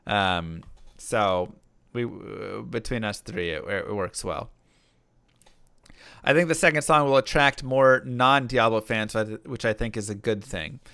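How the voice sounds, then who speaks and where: A man's voice: lowish voice, a little slow, gravelly, fairly bright tone, clear, resonant tone, no room sound, clean studio sound. A man streaming live, casually answering chat questions and rambling with fillers and restarts. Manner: rambling, lots of fillers and restarts, reads chat messages aloud. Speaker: streamer and rapper.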